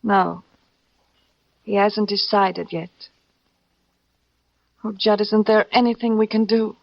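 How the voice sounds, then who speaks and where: A woman's voice: medium pitch, fairly even intonation, takes it slowly, gravelly, no room sound. An actress playing a terrified, pleading young woman in an old radio thriller, breathless and melodramatic. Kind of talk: 1940s-50s radio suspense acting. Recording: dull old radio recording, faint music.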